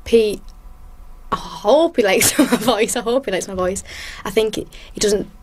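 Chatty excited teenage girl. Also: mid-range voice, a little slow. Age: teens. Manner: excited, chatty, acts out quotes. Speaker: contestant. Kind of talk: casual chat. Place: recording studio.

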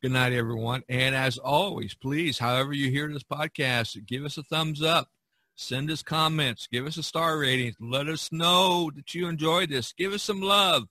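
A man's voice: a little high, normal speed, bright, forceful tone, no echo. A man hosting a casual podcast chat among artist friends, rambling a little and repeating his phrases. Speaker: podcast host.

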